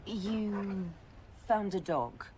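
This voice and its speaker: A woman playing a composed, affectionate female leader in a video game cutscene, speaking gently. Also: mid-range voice, extremely slow, noisy background. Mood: gently teasing.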